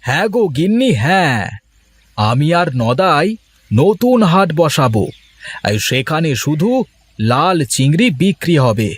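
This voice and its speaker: An actor voicing a poor village fisherman in a cartoon, cheerful and boastful, with a husky voice. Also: fairly high pitch, sing-song, unhurried, pauses often, no echo, heavily acted. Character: poor village fisherman turned eager trader. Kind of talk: Bengali cartoon voice acting.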